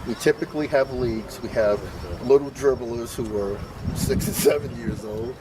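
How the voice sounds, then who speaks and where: A man's voice: mid-range voice, moderate pace. A man with a New York accent, a youth basketball coach giving an earnest, motivational interview. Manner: earnest, motivational, explains patiently. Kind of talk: on-location interview. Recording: distant mic, loud street noise.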